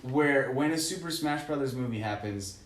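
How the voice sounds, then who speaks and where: A man's voice: medium pitch, moderate pace. A man co-hosting a podcast, talking casually in slangy, profane language full of "dude" and "man.". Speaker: podcast co-host. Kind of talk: casual film and video game chat. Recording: roomy echo, faint background noise.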